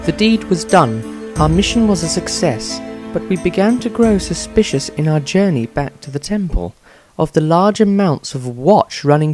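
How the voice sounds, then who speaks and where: Man voicing an animated, earnest young priestess. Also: fairly high pitch, voice pushed up. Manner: prim, indignant storytelling. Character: earnest, indignant young woman, a trainee priestess adventurer. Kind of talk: dramatised diary reading.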